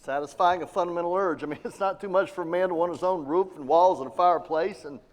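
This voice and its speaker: A man playing a gentle, weary father on a theater stage. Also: high voice, quick pace, close to an everyday voice. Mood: fatherly. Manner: warm, earnest, fatherly. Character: kindly middle-aged father, worn but gentle. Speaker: stage actor in a live radio play. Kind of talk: 1940s radio play acting, live on stage. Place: theater stage, live audience. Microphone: standing stage mic. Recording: long hall reverb of a theater.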